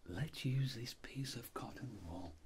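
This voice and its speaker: A middle-aged man speaking in soft whispered ASMR, playing a clinic doctor giving gentle, unhurried instructions. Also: mid-range voice, lively intonation, a little hiss or hum.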